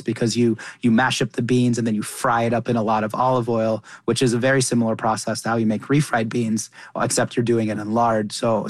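A man's voice: lowish voice, dry recording. A young man raised in Qatar, a chef talking in an interview, often restarting and repeating words as he talks. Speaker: chef and cookbook author. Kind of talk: conversational interview.

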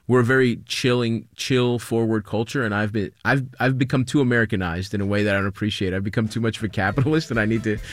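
A man's voice: fairly low pitch, fairly even intonation, quick pace, few pauses. A man talking candidly and informally about himself as a comedian in an interview. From Greek immigrant. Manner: informal, confessional. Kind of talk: casual, candid interview chat.

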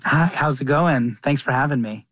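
A man's voice: medium pitch, normal speed, fairly bright tone, no echo, faint music behind. A man from Qatar chatting casually as an interview guest, earnest and often rephrasing himself as he goes. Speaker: interview guest, chef and cookbook author.

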